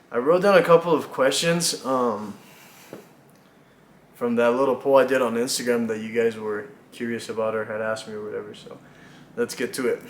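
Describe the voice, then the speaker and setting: A man in his twenties from Mexico, chatting casually to camera about himself, relaxed and rambling with lots of fillers and little laughs. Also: medium pitch, slight background noise. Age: 20s. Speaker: vlogger. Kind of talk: casual get-to-know-me chat. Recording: echoey room.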